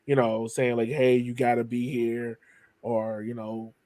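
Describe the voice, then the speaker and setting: Guy hosting a podcast, medium pitch, dry sound, kinda circles around his questions casually. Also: flat delivery, measured pace, warm tone, no room sound. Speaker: podcast host, interviewer.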